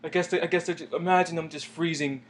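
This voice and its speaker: A man's voice: on the high side, steady tone, fast. A young man chatting casually about an anime, hesitant and trailing off at times. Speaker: co-host. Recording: faint background noise.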